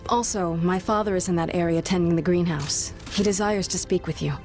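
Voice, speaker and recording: An actress playing an earnest chief's daughter of a frontier tribe in a video game, composed and stiffly formal. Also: female voice, fairly low pitch, brisk, a little mellow, faint music behind, lightly acted. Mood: grateful, formal. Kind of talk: video game character dialogue.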